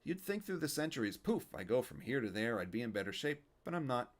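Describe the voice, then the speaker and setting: A man's voice: medium pitch, very animated, a little fast, voice pushed up. A man voicing a grumbling old male lamp genie in a storybook read-aloud, wisecracking and short of breath. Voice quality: kvetching, wisecracking, sing-song questions. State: out of breath, tired.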